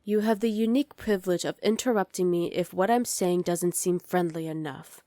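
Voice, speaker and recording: A woman's voice: fairly low pitch, steady tone, normal speed, mellow, no room sound, studio quality, close to an everyday voice. A woman playing a dominant evil sorceress queen in an ASMR roleplay, commanding and condescending with cool menace. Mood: menacing, commanding. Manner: commanding, condescending, coolly threatening.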